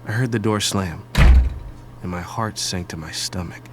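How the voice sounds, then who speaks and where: A man playing a wry, expressive adult son who narrates an audio drama in the first person. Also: low voice, measured pace, loud music behind the voice. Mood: sad. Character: wry adult son, doctor, eavesdropping on his parents.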